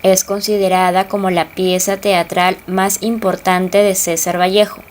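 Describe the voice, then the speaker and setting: A woman's voice: on the low side, little pitch movement, a little slow, a little hiss or hum. A young woman, giving scripted expository narration as a student presenter. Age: young adult.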